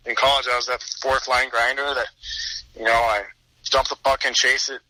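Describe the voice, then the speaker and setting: A man's voice: medium pitch, level tone, normal speed, pushed and bright, no room sound, poor audio. A man in his twenties from the Washington DC area, a pro hockey player telling his story easygoingly in a podcast interview, repeating words as he thinks. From Washington DC area, United States. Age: 20s.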